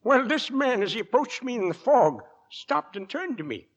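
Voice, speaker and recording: Agitated, insistent inquest witness, high and very animated, dull old radio drama sound. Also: male voice, normal speed, full character voice. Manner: earnest, deferential but insistent. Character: excitable, insistent Victorian male witness at an inquest. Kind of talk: 1940s radio acting. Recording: dull vintage radio recording.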